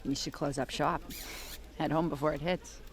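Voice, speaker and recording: Low, clipped woman detective voiced by an actress. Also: steady tone, moderate pace, heavy background noise, close to an everyday voice. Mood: low-key. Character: tough, terse female detective, guarded and protective. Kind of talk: audio drama acting.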